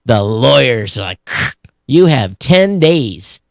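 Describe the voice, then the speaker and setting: Male podcast co-host, middle-aged, blunt and sarcastic, average pitch, dry studio-tight sound. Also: sing-song, slow pace, vocal fry, no room sound. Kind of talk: casual opinionated tech news chat.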